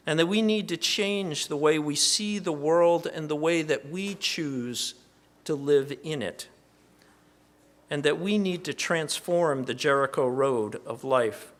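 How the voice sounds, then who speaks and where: A man's voice: a little high, a bit bright. Earnest man introducing a keynote speaker. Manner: earnest, measured, a little halting. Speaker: event organizer introducing the speaker. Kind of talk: introduction speech.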